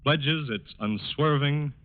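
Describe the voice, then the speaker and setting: Formal American announcer, vintage lo-fi radio. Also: male voice, a little high, heavy background noise. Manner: formal, measured, solemn. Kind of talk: scripted 1940s radio announcing. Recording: dull lo-fi 1940s radio recording.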